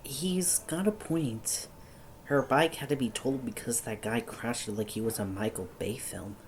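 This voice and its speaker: Woman playing a deep-voiced, blunt friend. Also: moderate pace, slight background noise. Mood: dry. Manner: dry, blunt. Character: dry, scolding but caring young friend. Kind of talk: audio drama acting.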